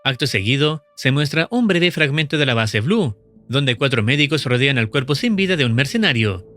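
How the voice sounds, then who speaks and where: A man narrating in a steady, measured scripted voice-over, explaining the plot of a horror video series. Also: medium pitch. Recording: voice-over with faint music behind.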